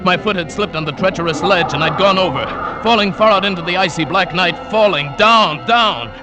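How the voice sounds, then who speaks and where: An actor narrating as a climber who survived a great fall, telling it dramatically in first person in an old radio drama, with music behind him. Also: male voice, high-pitched, brisk, natural voice, poor audio. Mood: tense. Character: adult male climber recounting his fall. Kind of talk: 1940s radio acting.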